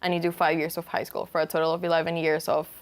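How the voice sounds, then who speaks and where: A woman's voice: sits low, level tone, fast talker. A young woman from Venezuela, a student guest answering interview questions earnestly, with many fillers and restarts. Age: young adult.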